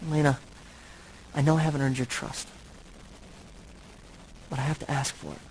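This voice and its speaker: An actor playing a brooding, tormented but noble centuries-old vampire in a video game, speaking in a grave, halting, earnest way. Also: male voice, mid-range voice, level tone, darkish tone, a little hiss or hum, lightly acted, low-quality recording. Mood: pained, confessional.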